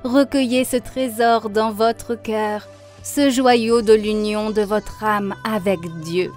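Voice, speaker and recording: A woman's voice: fairly high pitch, fairly even intonation, takes it slowly, darkish tone. A woman voicing a devout, grateful young woman in a cartoon, speaking earnestly and solemnly. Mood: solemn, exhorting. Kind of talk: dubbed cartoon monologue, testimony. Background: faint background music.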